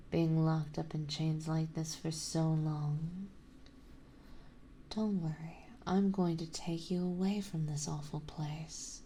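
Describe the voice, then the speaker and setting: A woman playing a genteel, possessive vampire mistress in an ASMR roleplay, speaking softly, politely and soothingly. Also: low voice, a little hiss or hum, heavily acted. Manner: soothing, softly spoken, polite, unhurried, doting. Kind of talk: ASMR roleplay acting, partly whispered.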